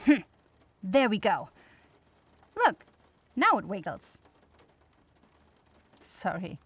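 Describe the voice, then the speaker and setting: A woman's voice: mid-range voice, huge pitch swings, very slow, breathy edge, no echo, full character voice. A woman voicing a caring, easily flustered young forest witch in an ASMR fantasy roleplay, speaking in hesitant, blurting phrases. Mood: focused, hesitant.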